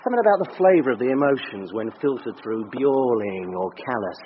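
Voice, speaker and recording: A man's voice: mid-range voice, expressive, close to an everyday voice. Pompous, theatrical Englishman played by an actor, long reverb. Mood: pompous, fastidious. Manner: pompous, fastidious, florid. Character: pompous, cultured opera-loving male villain. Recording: dull, reverberant, faint noise.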